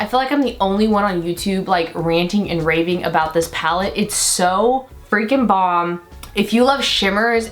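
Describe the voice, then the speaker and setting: A young woman with a deep voice for a woman talks through her makeup routine in a loose, rambling, excited way, full of fillers. Her pitch sits in a normal middle range. Soft music plays faintly behind her. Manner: rambling, enthusiastic, lots of fillers. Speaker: beauty vlogger. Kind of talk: chatty get-ready-with-me makeup talk.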